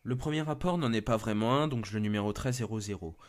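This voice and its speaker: A man's voice: fairly low pitch, fast talker. A man narrating a scripted first-person horror story.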